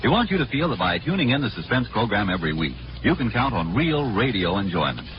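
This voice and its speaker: Male commercial announcer, vintage radio, swinging intonation, emphatic sales pitch, music behind, dull sound. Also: sing-song, quick pace, background noise. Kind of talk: 1940s radio advertising read.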